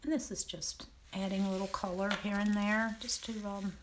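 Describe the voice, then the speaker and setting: A woman with a Southern American accent and a raspy, half-whispered voice, calmly talking through a painting demonstration and often clearing her throat. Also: medium pitch, background noise. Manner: unhurried, thinking aloud while painting, frequent throat clearing. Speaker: painting teacher.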